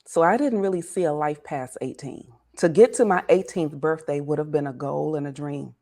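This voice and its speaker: A woman in her forties from Washington, D.C., telling her life story as an interview guest in a measured, reflective way, often easing down softly at the end of a thought. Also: low-pitched.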